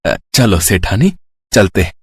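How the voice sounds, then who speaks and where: A man voicing a kindly, generous wealthy gentleman in a cartoon devotional story. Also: deep voice, sing-song, a little slow, breathy edge, dry recording, clean studio sound, exaggerated voice. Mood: kind, benevolent. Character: kindly, generous rich man, secretly a benevolent god. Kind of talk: Hindi cartoon story acting.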